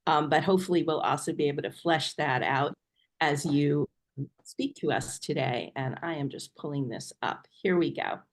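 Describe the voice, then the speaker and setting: A woman's voice: low-pitched, normal speed. A woman hosting a video webinar, introducing a guest with frequent ums as she reads a bio. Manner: hesitant, many ums while reading a bio. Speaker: webinar host. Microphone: video call.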